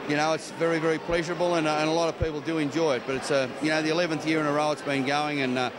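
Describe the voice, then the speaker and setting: A man's voice: on the high side, little pitch movement, racing, background noise. An Australian man answering interview questions in a rambling way, padded with frequent conversational fillers. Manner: rambling, many 'you know' fillers. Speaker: civic interviewee.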